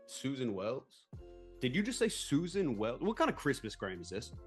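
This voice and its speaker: Man playing a baffled, animated office boss. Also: mid-range voice, normal speed, soft background music. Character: baffled, brusque businessman father in his office. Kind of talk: live table read of a comedy film script.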